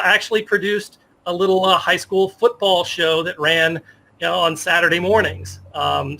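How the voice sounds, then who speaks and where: American guy in his 50s, high bright voice, chatting enthusiastically about old memories in an interview. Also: rough recording. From Virginia, United States. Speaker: interview guest, sports media professional. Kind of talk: casual interview storytelling.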